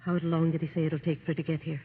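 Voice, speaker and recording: An actress playing a gentle, consoling older mother in an old radio drama, with a soft, measured delivery. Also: deep voice, flat delivery, narrow, tinny audio. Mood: concerned. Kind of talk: 1930s-40s radio drama acting. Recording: thin, noisy vintage radio recording.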